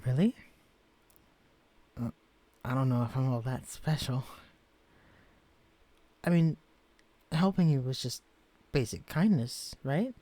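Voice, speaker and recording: Guy voicing a shy tiny young man in a romance audio, soft and stammery, warm mellow voice, mid pitch. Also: expressive, slow pace, long pauses, dry recording. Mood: bashful. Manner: soft-spoken, hesitant, stammering when flustered. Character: gentle, shy, shrunken young man in love. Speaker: character in a listener-directed romance audio. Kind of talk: audio roleplay drama.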